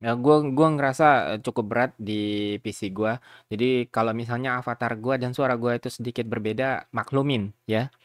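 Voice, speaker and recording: A man's voice: mid-range voice, fairly even intonation, moderate pace, dry recording, clean studio sound. A man casually walks viewers through a tutorial, chatting informally and often checking in with them. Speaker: YouTuber giving a software tutorial. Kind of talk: casual screen tutorial with streamer slang.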